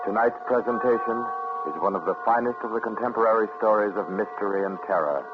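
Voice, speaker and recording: A man's voice: fairly low pitch, quick pace. A man announcing a radio drama in a formal, grandiose 1940s style. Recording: thin, lo-fi 1940s radio recording. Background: loud dramatic music.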